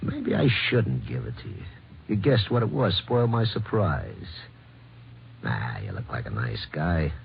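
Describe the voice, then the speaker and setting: Gravelly, taunting gunman. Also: a bass voice, sing-song, exaggerated voice. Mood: mocking menace. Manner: mocking, menacing, drawn-out. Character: cold, taunting male gunman toying with his victim. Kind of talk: old-time radio crime drama acting. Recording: muffled, hissy old radio recording.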